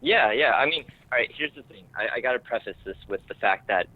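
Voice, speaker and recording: A man chatting casually as an interviewed screenwriter, informal and self-deprecating, often halting as he searches for words. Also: mid-range voice, animated, narrow, tinny audio. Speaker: screenwriter guest.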